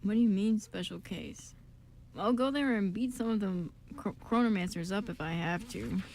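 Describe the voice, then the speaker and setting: A woman's voice: mid-range voice, normal speed, creaky, a little mellow, natural voice. Woman as blunt, wary guy, slightly noisy recording. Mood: indignant. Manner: hesitant, slangy, blunt. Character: Quinn, blunt, wary, loyal male friend. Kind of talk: amateur radio play acting.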